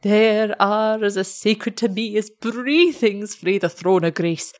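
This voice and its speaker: A woman voicing an excitable, tender old shepherd in a story, with a Scottish accent and broad, exclamatory dialect speech. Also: medium pitch, a little slow, natural voice.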